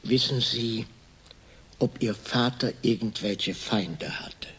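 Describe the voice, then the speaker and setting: An actor playing a shrewd, laconic private detective in a radio crime drama, with a dry, probing manner and a husky, gravelly voice. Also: male voice, lowish voice, slow pace, a bit bright, exaggerated voice, poor audio. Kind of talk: German radio crime drama, first-person narration and questioning.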